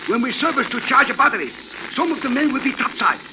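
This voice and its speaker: An actor playing a clipped, urgent colonel in a 1940s radio drama. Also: male voice, very high-pitched, expressive, fast talker. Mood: urgent, conspiratorial. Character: Japanese-American colonel, secret ally, clipped and urgent. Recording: noisy, muffled old radio recording.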